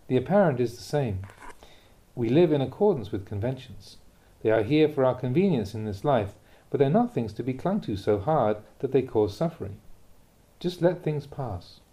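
A man with a medium-pitched, deeply mellow voice reads Buddhist teachings from a script. His tone is very relaxed and dark. He speaks in a measured, deliberate way, setting out each point as instruction. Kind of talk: scripted reading of a dharma talk.

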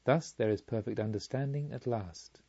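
A serene, detached enlightened woman of a storybook, read by a man in a mellow, relaxed voice. Each phrase is measured and solemn, and the recording is slightly muffled. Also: mid-range voice, husky, voice pushed down. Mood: solemn calm.

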